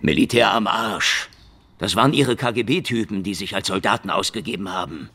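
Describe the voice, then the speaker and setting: An actor playing a cynical, world-weary Soviet secret-police detective in a hardboiled radio drama, sardonic and slangy. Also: male voice, lowish voice, moderate pace, bright, forceful tone. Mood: sardonic, challenging. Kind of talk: noir radio drama acting and narration.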